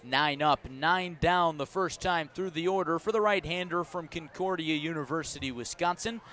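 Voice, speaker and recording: Higher-pitched American man calling baseball. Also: moderate pace, sharp tone. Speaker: baseball play-by-play announcer. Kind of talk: live sports radio commentary. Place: outdoor ballpark broadcast.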